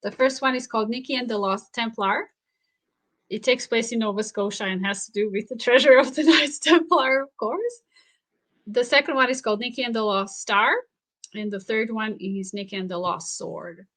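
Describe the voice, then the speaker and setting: A woman whose first language is Slovak speaks as an author being interviewed. She is reflective and halting, restarting phrases as she searches for words. She breaks into light laughter often. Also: on the high side, a bit bright. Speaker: author and researcher guest. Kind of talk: interview conversation.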